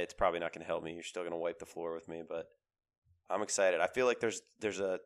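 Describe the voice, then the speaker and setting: A very low, smooth male voice, thickened by a cold. He co-hosts a casual sports podcast and talks in an animated, emphatic way, often repeating his points. He sounds like a man in his thirties. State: has a cold, voice lower than usual. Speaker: podcast co-host.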